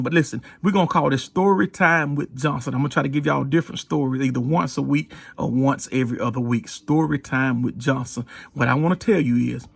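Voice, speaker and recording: A man's voice: a little high, creaky, a bit bright, husky, no room sound. A man, a pastor telling stories and exhorting in a folksy, informal sermon style with repeated phrases.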